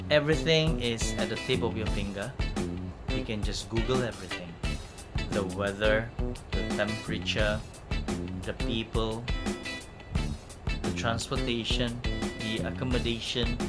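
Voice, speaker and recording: A man with a Malaysian English accent talks through travel tips, casual and unscripted. His voice sits at an ordinary pitch and has a chatty, rambling flow with small restarts. Loud plucked guitar music plays under him throughout. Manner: chatty, rambling, repeats words while searching for them. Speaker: travel vlogger. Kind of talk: casual unscripted talk to camera.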